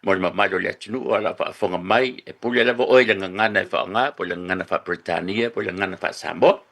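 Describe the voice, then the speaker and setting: A man hosting a radio show introduces his guest in a steady, formal way. His voice is low, with a bright, forward tone. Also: racing. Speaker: radio host.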